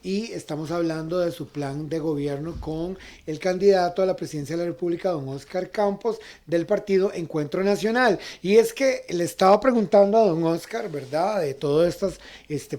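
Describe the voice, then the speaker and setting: A man with a Costa Rican accent hosts a conversational interview show. His voice sits a little high for a man, with a very mellow, dark-edged tone. He often rounds off phrases with a short checking question. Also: normal speed, hardly any pauses. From Costa Rica. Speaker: talk show host.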